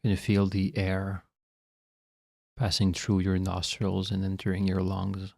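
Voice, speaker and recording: A man leading a guided meditation as a guide, speaking in a calm, measured, instructional way with long pauses. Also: low-pitched, creaky, no echo, clean studio sound.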